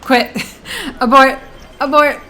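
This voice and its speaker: High-voiced woman gamer, playful and cocky. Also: slow pace, pushed and bright. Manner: playful, cocky, exclamatory, giggly. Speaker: game streamer playing and reacting. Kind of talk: casual playthrough commentary. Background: game music and dialogue.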